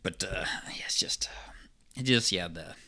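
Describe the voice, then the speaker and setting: Bright-voiced man chatting haltingly. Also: deep voice, whispered. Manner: halting, rambling. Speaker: podcast co-host. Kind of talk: casual chat.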